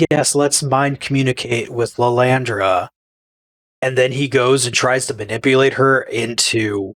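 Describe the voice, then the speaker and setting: A man's voice: medium pitch, fairly bright tone. Blunt, opinionated podcast man. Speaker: podcast co-host. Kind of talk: casual chat.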